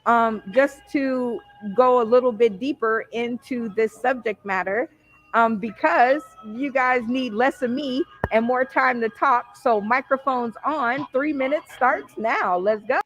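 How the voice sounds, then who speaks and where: A woman's voice: fairly high pitch, unhurried, faint music behind. An American woman hosting and moderating a casual discussion panel over dull, lo-fi online call audio.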